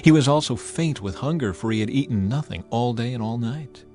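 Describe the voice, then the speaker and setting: A man narrating scripture in a measured, even reading. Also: medium pitch, faint music behind.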